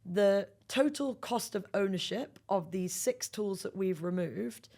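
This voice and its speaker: A woman's voice: medium pitch, unhurried, warm tone. A woman speaking as a sales executive and podcast guest, explaining business practice emphatically in a conversational interview.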